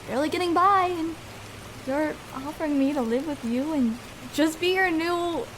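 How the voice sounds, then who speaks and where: A woman's voice: very high-pitched, slight background noise, full character voice, rough recording. A young woman playing a shy, vulnerable escort in an intimate ASMR roleplay, speaking hesitantly and tenderly with small stammers.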